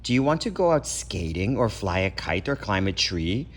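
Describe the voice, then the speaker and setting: Animated man reading a children's storybook. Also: lowish voice, normal speed. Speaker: parent reading aloud for children. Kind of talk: expressive children's storybook read-aloud.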